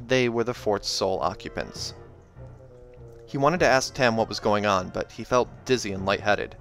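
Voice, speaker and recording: A man with a fairly low voice reads a fantasy novella aloud as host, putting expression into the narration and dropping in casual asides. Light game soundtrack music plays behind him. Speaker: host reading aloud and reacting. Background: video game soundtrack music.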